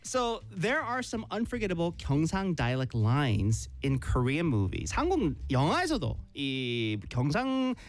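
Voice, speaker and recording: 영어와 한국어를 오가며 활기차게 이야기하는 여자 라디오 진행자. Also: female voice, low voice, drawn-out words, hardly any pauses. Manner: switches between English and Korean, lively. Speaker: radio host. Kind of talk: bilingual radio chat.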